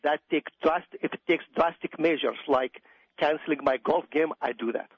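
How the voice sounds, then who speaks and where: Husky Iraqi-accented man, higher-pitched voice. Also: moderate pace, no room sound. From Iraq. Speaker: doctor, interview guest. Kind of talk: conversational radio interview. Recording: dull, dry radio audio.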